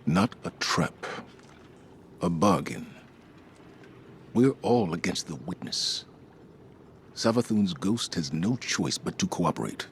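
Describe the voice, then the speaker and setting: An actor playing a stoic, authoritative older military commander in a video game, measured and resolute. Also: male voice, low-pitched, expressive, slight background noise, exaggerated voice. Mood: resolute, grave.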